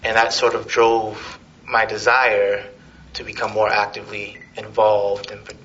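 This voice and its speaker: A man giving an informal, halting public talk as a political organizer, on a dull, noisy live recording. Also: lowish voice, monotone, a bit bright.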